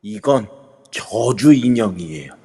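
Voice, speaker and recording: A man's voice: on the low side, lively intonation, takes it slowly, dark tone, husky, full character voice. A man playing an urgent ally who wields talismans and pleads for help. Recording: long echoey reverb.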